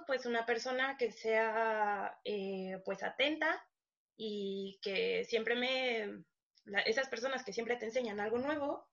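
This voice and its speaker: A woman's voice: medium pitch, creaky, fairly bright tone, dull audio. A young woman chatting casually, hesitant and searching for words as she goes. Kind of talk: casual chat.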